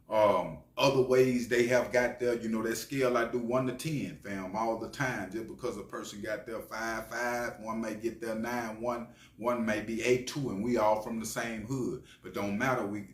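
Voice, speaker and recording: A man giving opinionated, preachy street-slang commentary as a channel host, speaking emphatically. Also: lowish voice. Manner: preachy, emphatic, often tagging phrases with slang address. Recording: echoey room sound.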